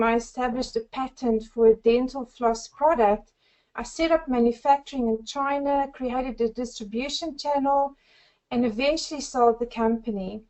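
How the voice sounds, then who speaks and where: A woman in her forties from South Africa with a South African accent, giving an effusive, upbeat sales pitch on a group call. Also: darkish tone, muffled recording. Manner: effusive, upbeat, addresses listeners as friends. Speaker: network marketing presenter.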